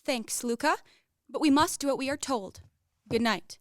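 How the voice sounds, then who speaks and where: A woman's voice: high voice, moderate pace, a little hiss or hum, lightly acted. A woman acting in a radio play as a proud, romantic young lady of a wealthy house, lofty and theatrical. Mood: girlish, eager. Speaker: actor in a student radio play.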